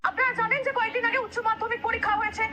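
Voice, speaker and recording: A cartoon television newsreader played by a woman with an extremely high, sharp voice. The delivery is a quick announcement over music. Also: steady tone. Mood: brisk, announcing. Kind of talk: news announcement in comedy cartoon.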